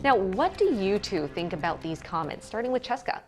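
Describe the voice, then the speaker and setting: A woman's voice: medium pitch, very animated, normal speed, faint music behind. A young woman presenting a live morning discussion show as host in a TV studio.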